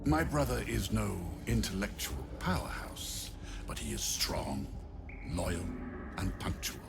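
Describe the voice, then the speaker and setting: An actor playing a haughty, sinister necromancer in a video game, with pompous, theatrical, drawling delivery. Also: male voice, fairly low pitch, big pitch swings, measured pace, vocal fry, over loud music, full character voice. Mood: cold, commanding. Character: sinister, haughty male necromancer, vain about his grisly creations. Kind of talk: dramatic video game acting.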